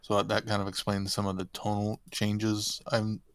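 A man's voice: lowish voice, completely flat delivery, moderate pace, clear, resonant tone, no room sound. A man hosting a podcast in casual conversational chat. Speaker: podcast host.

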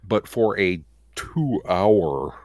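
A man talking casually as a podcast co-host, opinionated and emphatic, often feeling his way through a thought in halting steps. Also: low voice, lively intonation, a little mellow, dry recording, clean studio sound. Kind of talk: casual fan discussion.

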